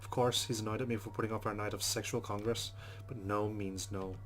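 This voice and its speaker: A man's voice: lowish voice, fairly even intonation, brisk, faint music behind, natural voice. Man reading as rueful young woman, put-on Irish accent. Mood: wry. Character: young Irish woman narrating her rueful private thoughts. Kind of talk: first-person visual novel narration.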